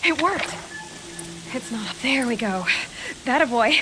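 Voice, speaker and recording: A young actress playing a determined outcast huntress, talking in a terse, focused way and often dropping to a hush. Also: female voice, a little high, expressive, measured pace, poor audio. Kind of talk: video game cutscene acting. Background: game music.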